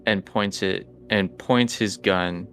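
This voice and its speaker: A man's voice: sits low, fairly even intonation, slow, a bit bright, slight background noise. A man chatting casually and narrating as game master of a tabletop podcast.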